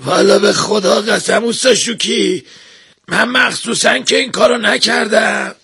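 A man plays a bumbling storybook workman in a lifted, slightly husky voice. He sounds flustered and apologetic, earnestly pleading that he meant no harm. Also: high-pitched, pushed and bright, voice pushed up, exaggerated voice. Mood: pleading innocence. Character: hapless clumsy apologetic male workman, earnestly protesting innocence.

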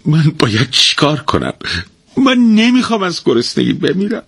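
A man's voice: medium pitch, very animated, bright, forceful tone, slightly rough, dry recording, voice pushed up, exaggerated voice. A man voicing a lazy, gluttonous, simple-minded husband in a storybook folk tale, whiny and bossy. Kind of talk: storybook reading.

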